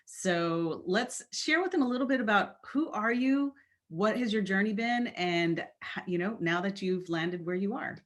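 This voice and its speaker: A woman's voice: mid-range voice, animated, a little slow. A woman hosting a podcast over a remote call, welcoming her guest in an enthusiastic way with some fillers. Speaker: podcast host. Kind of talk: podcast interview.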